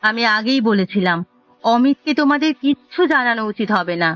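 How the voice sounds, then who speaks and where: A woman's voice: on the high side, animated, a little fast, lightly acted. A woman voicing a conniving, nagging village wife in a cartoon, with an insistent, goading delivery. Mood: scolding. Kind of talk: Bengali cartoon story dubbing. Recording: dull lo-fi audio, music bed.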